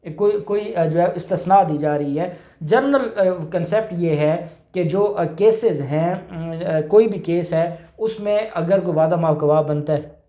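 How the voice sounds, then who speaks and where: A man with a Pakistani accent giving an informal legal explanation, halting and rephrasing his points as he goes. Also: sits high, mellow. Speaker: lawyer explaining law. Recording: roomy, echoing indoor sound.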